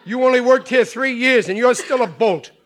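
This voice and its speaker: A man's voice: very high-pitched, normal speed. An actor with an American accent playing a blustering, dim, middle-aged family man in a classic radio sitcom, with colloquial blue-collar diction. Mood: indignant. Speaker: lead actor in a radio situation comedy. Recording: noisy old radio recording.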